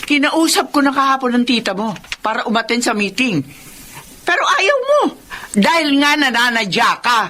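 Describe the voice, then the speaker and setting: A woman's voice: on the high side, big pitch swings, normal speed, slight background noise. An actress playing a strict, hardworking single mother who scolds her daughter, in a radio drama. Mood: scolding. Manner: scolding, sharp. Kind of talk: Filipino radio drama acting.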